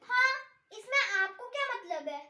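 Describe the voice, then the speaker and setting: A woman's voice: very high-pitched, sing-song, a little slow, bright, forceful tone, exaggerated voice. A woman playing an emotional, easily hurt but determined daughter-in-law who loves food, in an amateur moral story. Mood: indignant. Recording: roomy, echoing sound.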